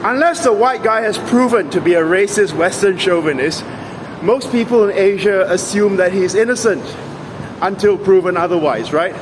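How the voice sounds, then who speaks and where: A man's voice: sits high, moderate pace, noisy background. A man giving an opinionated, sarcastic monologue for his vlog, with an emphatic and argumentative way of talking. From Singapore. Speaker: vlogger.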